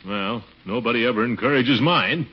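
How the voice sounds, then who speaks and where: A man's voice: mid-range voice, normal speed, slight background noise, natural voice. A man playing a blustering, fond, flirtatious middle-aged uncle in a 1940s radio comedy, with a theatrical, pompous delivery. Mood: worried, grumbling. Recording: dull vintage 1940s radio recording.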